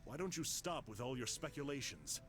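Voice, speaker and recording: A man's voice: medium pitch, expressive, brisk, lightly acted. A man voicing a stern, loyal demon servant in an anime-style video game, formal and coldly threatening, over music. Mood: grave, stern. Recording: loud game music underneath.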